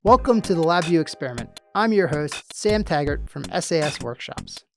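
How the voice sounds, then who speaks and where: A man hosting a podcast. He reads a scripted show opening, then chats casually with his guest and often trails off softly. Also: a little high, expressive, moderate pace, music in the background. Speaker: podcast host.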